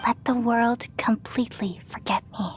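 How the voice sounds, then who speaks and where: A woman playing a gentle, self-sacrificing ancient goddess in a video game, speaking in solemn, measured, poetic phrases. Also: fairly high pitch, fairly even intonation, in a whisper, close to an everyday voice. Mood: soothing. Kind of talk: scripted dramatic game voice acting. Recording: music behind the voice.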